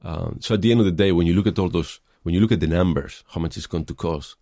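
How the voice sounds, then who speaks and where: A middle-aged man with a Spanish accent speaks in a deep, gravelly, mellow voice. He answers interview questions thoughtfully and often pauses on fillers. The sound is close and dry. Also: no room sound. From Spain. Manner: thoughtful, hesitant, many fillers. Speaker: film director.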